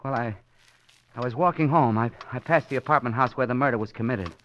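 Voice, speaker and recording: A man plays a frightened, bitter little clerk in a vintage radio thriller. His mid-pitched voice is strained and bright, agitated and halting. Faint noise and clicks sit on the old recording. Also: lo-fi sound, natural voice. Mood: terrified. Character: frightened, nervous male city employee, bitter and fatalistic. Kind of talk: old-time radio crime drama acting.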